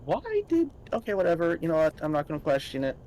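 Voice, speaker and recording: A man's voice: on the high side, huge pitch swings, fast, a little mellow. A man chatting in an easygoing, wry way as he plays a co-op game with friends. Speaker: co-player. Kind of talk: casual gaming chat.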